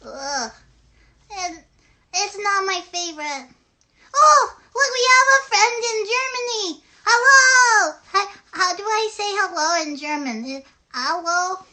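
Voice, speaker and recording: A woman's voice: very high voice, animated, slow pace, sharp tone, heavily acted. A woman voicing a sweet, eager little girl puppet in a live puppet show, with childlike, hesitant, simple phrasing. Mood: earnest.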